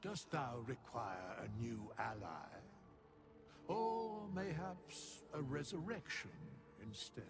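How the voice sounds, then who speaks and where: A solemn, ancient undead sage for a fantasy game. The speech is drawn out and archaic, with grand swings of pitch and a cryptic, stately manner. Music sits underneath. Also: male voice, animated. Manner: archaic, stately, deliberate diction. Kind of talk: video game character dialogue.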